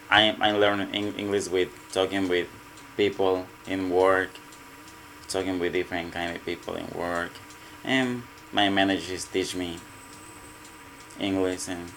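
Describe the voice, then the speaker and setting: A man with a Mexican Spanish accent, Spanish his first language, answering interview questions in halting English and often repeating words. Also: sits low, a bit bright, slight background noise, low-quality recording. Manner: halting, repeats words, non-native grammar. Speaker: restaurant cook. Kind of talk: casual interview.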